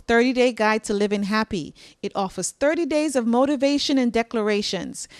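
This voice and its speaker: A woman's voice: on the high side, darkish tone, dry recording, studio quality. A woman reading a scripted promotional advert as an announcer.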